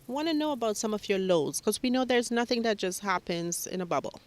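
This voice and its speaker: A woman's voice: mid-range voice, expressive, brisk. A woman interviewing a musician, friendly and affirming as she asks open questions.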